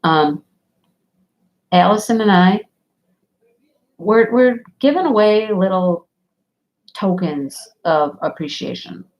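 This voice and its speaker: A woman talks in a rambling, easygoing way while she works on a craft project. Her voice sits a little low, and her nose is stuffy and sniffly from a cold. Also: animated, slow, pauses often, a little mellow, highs cut off. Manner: rambling, chatty, laughs often. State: stuffy nose from a sinus infection. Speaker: diamond painting livestream host. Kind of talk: casual chat while crafting.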